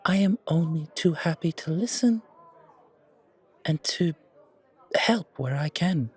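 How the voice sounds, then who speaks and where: Man acting out refined enigmatic confidant, audio roleplay, higher male voice, unhurried, polished theatrical phrasing, gracious. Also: lively intonation, darkish tone, breathy edge, full character voice.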